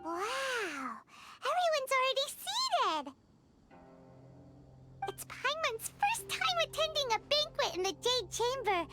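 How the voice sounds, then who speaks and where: A woman voicing a tiny, excitable fairy girl companion in a video game, squeaky, childlike and bubbly. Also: slow, full character voice. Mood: delighted. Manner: bubbly, refers to self in third person. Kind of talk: scripted video game character acting. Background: music.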